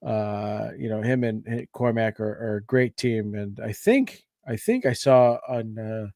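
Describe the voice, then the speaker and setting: A man's voice: fairly low pitch, animated, unhurried, a little mellow, no room sound, studio quality. A man co-hosting a podcast in casual, appreciative chat, feeling his way through his phrasing. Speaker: podcast co-host.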